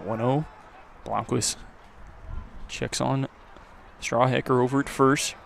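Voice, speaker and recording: A man giving live play-by-play baseball commentary for radio, his voice lifting on the action and settling between pitches. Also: steady tone, slow. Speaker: play-by-play announcer. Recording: clear outdoor ambient noise. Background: outdoor ballpark ambience.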